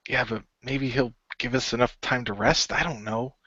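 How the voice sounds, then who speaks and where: A man's voice: medium pitch, fairly even intonation, moderate pace, pushed and bright, dry recording, lo-fi sound. A man voicing an earnest, nervous but determined hero in an amateur radio play, hesitant and repeating himself. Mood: uncertain.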